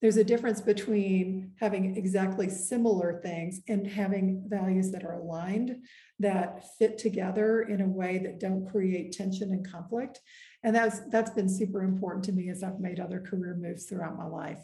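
Measured, earnest woman in an echoey room. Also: medium pitch, fairly even intonation, mellow. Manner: earnest, measured, with frequent pauses and "um". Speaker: guest, executive. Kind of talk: reflective interview conversation.

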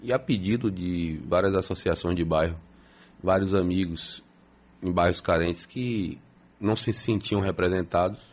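Man, 50s, low voice, steady plain-spoken council president being interviewed. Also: normal speed. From Salvador, Brazil. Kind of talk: earnest interview answers.

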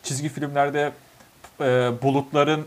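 A man's voice: fairly high pitch, monotone, a little slow. A man. He chats casually as a podcast co-host, in an informal, conversational way. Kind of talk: casual chat.

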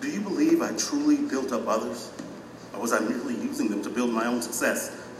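An actor playing a proud former mayor in an audio drama, bewildered, hesitant and earnestly questioning himself. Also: male voice, medium pitch, fairly quick, far from the mic, background noise, natural voice. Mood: troubled doubt. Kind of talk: allegorical audio drama acting. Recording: echoey hall, music behind, off mic.

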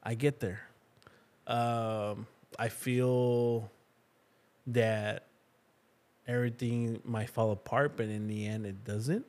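A man co-hosting a podcast, relaxed and easygoing, often trailing off mid-thought. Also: medium pitch, takes it slowly, pauses often. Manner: easygoing, says "dude" a lot, trails off. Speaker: podcast co-host. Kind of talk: casual chat.

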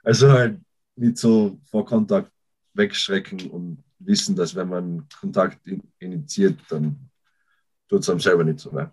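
A young man with an Austrian accent, a college football player answering interview questions in a halting way, often restarting sentences. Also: low voice, steady tone, breathy edge, rough recording. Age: young adult. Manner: halting, restarts sentences while searching for words. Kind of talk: casual interview talk.

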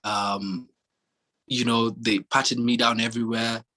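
A young man from Kenya in casual chat, telling stories in a relaxed way with many fillers and restarts. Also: medium pitch, slow pace.

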